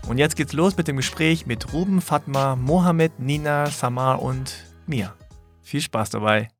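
A man hosting a podcast group chat in a playful, lively, joking way. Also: mid-range voice, a little slow, faint music behind. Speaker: podcast host.

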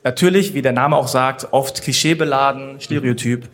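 A man's voice: medium pitch, fairly even intonation, a bit bright. A man hosting a casual interview segment in front of a live audience, joking and polling the crowd as he reads out his prompts. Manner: playful, quick, polls the audience. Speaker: host.

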